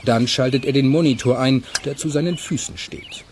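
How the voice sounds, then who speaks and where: A man's voice: mid-range voice, normal speed, darkish tone, slight background noise. A man reading scripted narration for a children's radio play.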